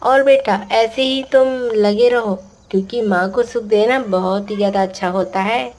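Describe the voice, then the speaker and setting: A woman's voice: medium pitch, moderate pace, darkish tone, natural voice. A woman voicing a fretful village mother. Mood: fond. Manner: worried, pleading to God. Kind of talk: Hindi cartoon voice acting. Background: background music.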